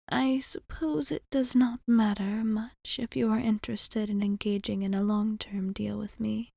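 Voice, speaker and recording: A woman voicing a courteous, teasing vampire bartender in an ASMR roleplay, with a soft, breathy half-whisper and measured, formal phrasing. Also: a little high, no echo, clean studio sound, heavily acted. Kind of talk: ASMR roleplay, intimate monologue.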